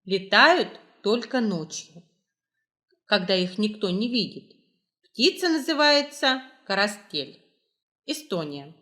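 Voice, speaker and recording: A woman's voice: medium pitch, expressive, takes it slowly, long pauses, a bit bright. Woman narrator with a mid-range voice. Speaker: narrator, library staff. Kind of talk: scripted educational travel narration.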